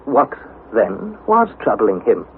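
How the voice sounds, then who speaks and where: An actor playing an earnest, curious young nephew who tells of an expedition in a vintage radio drama, with a questioning, storytelling manner. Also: male voice, mid-range voice, big pitch swings, slow, gravelly, heavily acted. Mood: dramatic narration. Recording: tinny, band-limited vintage radio, faint noise.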